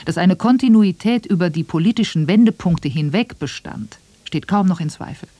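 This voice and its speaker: A woman's voice: fairly low pitch, expressive, moderate pace, mellow, no echo. A woman reading a scripted radio essay as a narrator.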